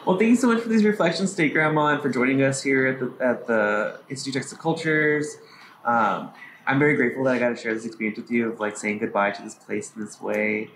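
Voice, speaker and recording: A man's voice: medium pitch, fast, a bit bright. Male host chatting in an echoey space. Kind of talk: casual conversational interview. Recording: echoey space, faint background noise.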